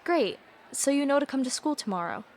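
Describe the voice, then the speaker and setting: A young woman playing an anxious, guilt-ridden teenage girl in an audio play, earnest, apologetic and quick to justify herself. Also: high voice, expressive, darkish tone, slight background noise. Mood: polite, uneasy.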